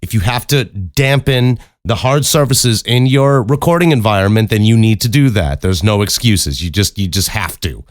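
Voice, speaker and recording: A man with a deep voice hosts a casual solo podcast, giving advice in a blunt, emphatic way with plenty of swearing, close on a studio microphone. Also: normal speed, hardly any pauses, dry recording. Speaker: podcast host and voice actor. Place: acoustically treated home recording room. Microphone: close studio condenser microphone.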